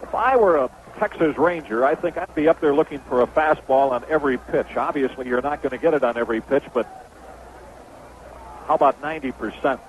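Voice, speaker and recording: A man's voice: mid-range voice, a little fast. An American man calling a baseball game as a play-by-play announcer in 1970s radio style. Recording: dull, noisy old AM radio broadcast.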